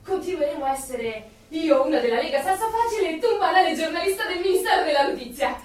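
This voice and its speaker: Intense, high-voiced rebel in echo. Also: female voice, animated, quick pace. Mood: fervent. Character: intense female secret rebel, resolute yet lonely. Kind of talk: Italian radio drama acting. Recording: very echoey, distant, music behind.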